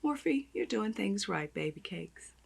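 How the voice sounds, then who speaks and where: A woman with a raspy voice, chatting and gushing as she does her makeup on camera. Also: medium pitch, very animated, measured pace. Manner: gushing, chatty, talks while applying makeup. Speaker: beauty vlogger. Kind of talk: makeup tutorial chat.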